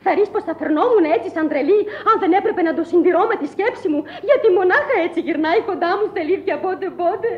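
A woman's voice: extremely high pitch, expressive, hardly any pauses. An actress playing a fussy, eccentric old Parisian madwoman in a radio play, plaintive and easily offended. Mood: tearful, aggrieved. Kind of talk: theatrical radio drama acting. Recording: dull old radio recording with noise.

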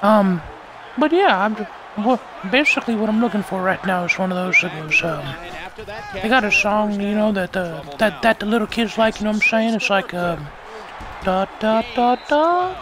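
A man voicing a creepy, chatty old man on a prank phone call, halting and repeating himself. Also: high voice, animated, pitched above the performer's own voice. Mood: rambling, friendly. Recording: very noisy call over game audio.

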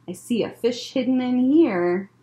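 A woman's voice: fairly high pitch, expressive, unhurried, dark tone. A young adult woman hosting a children's story time, explaining things simply as she chats and reads aloud. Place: library room with bookshelves, indoors. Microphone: webcam or laptop at desk distance.

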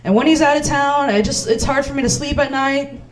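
A woman's voice: high voice, brisk. A woman in her thirties doing stand-up as host in a small comedy club, playful and self-mocking on a handheld mic.

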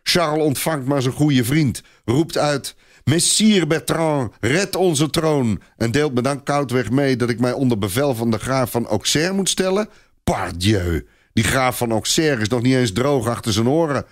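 A man voicing a proud, blustering Breton knight commander in an audio story, gruff and emphatic. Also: medium pitch, animated, unhurried, pitched above the performer's own voice, lightly acted. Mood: indignant.

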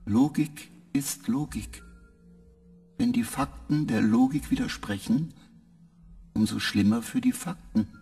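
An actor playing a weary male spaceship computer that answers in halting, clipped, literal sentences. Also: medium pitch, dark tone, breathy edge, soft background music. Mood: flat.

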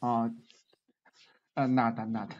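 A man in his twenties with a Chinese accent speaks slowly and hesitantly, often restarting and repeating words. His voice is heard through dull, lo-fi video call audio from a small room. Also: mid-range voice, flat delivery. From China. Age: 20s. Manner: halting, hesitant, repeats words while searching. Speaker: language learner and channel host. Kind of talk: casual video chat. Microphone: webcam on a video call.

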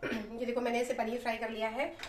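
A woman's voice: on the high side, flat delivery, brisk, recorded from a distance, slight background noise. Chatty woman cooking in an echoey kitchen. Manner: chatty, explains each step while cooking. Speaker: cooking vlogger. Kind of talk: casual cooking walkthrough. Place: small home kitchen. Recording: roomy kitchen sound, off-mic, music underneath.

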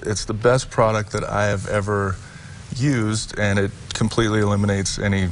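Halting, low-voiced man guest. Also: little pitch movement, slight background noise. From Utah. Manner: halting, many fillers and restarts. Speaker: company president, guest. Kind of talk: sponsored TV studio interview. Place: TV studio set, seated on a sofa. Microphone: lapel mic.

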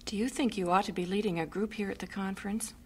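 Actress as a young woman teacher, earnest and wistful, mid pitch, radio play. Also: steady tone, fast, husky, close to an everyday voice. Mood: sympathetic. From Canada. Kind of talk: radio drama acting.

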